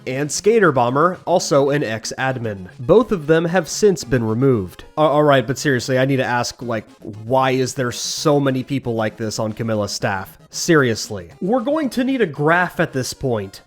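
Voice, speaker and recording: Man, middle pitch, scripted commentary voice-over, soft music behind. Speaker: video editor adding a note.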